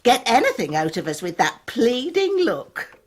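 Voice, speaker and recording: A woman's voice: on the high side, huge pitch swings, moderate pace. A woman playing a motherly, teasing Victorian cook with an English accent and homely manner in a radio drama. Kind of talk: 1990s British radio drama acting.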